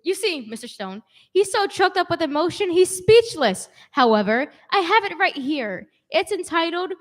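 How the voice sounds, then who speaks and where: Composed, wry schoolteacher played by a woman, high voice, polite and articulate, radio comedy. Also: sing-song, moderate pace, fairly bright tone, full character voice. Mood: polite, placating. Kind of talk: old-time radio comedy acting.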